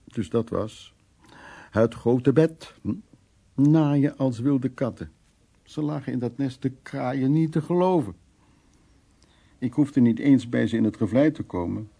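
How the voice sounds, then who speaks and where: An actor playing a cynical, worldly man who recounts his past in a wry, coarse radio drama monologue, with a raspy voice. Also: medium pitch, a little slow, pauses often, warm tone. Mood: coarse, mocking.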